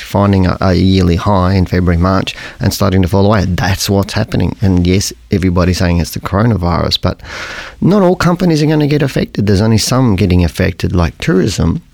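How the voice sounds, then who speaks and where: A middle-aged man with a croaky voice hosting a podcast, explaining things in a rambling, conversational way and often correcting himself. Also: deep voice, a little mellow, no echo. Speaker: podcast host. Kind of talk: casual educational podcast monologue.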